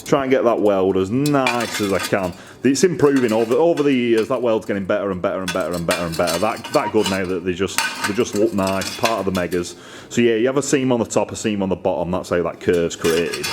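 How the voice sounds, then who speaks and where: A man's voice: lowish voice, brisk, noisy background. A middle-aged man casually chatting in his workshop, rambling and enthusiastic as he shows off the exhausts he makes. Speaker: motorcycle exhaust maker. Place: indoor workshop.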